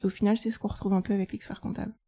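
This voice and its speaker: A woman in her thirties from France, a guest on a podcast, talking through her life in a relaxed, chatty way with fillers. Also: medium pitch, very fast, husky, no echo, studio quality. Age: 30s. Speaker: guest, chartered accountant. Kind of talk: casual interview.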